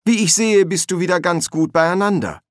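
A man's voice: high voice, normal speed, no echo, studio quality, pitched above the performer's own voice, lightly acted. A man voicing a cocky, casually self-assured young man in an audiobook.

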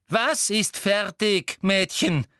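A man's voice: sits high, slow, bright, forceful tone, clear, resonant tone, no echo, voice pushed up, heavily acted. A man voicing a bossy, greedy female ministry official in an audiobook, brusque, eager and biting in manner. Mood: excited, demanding.

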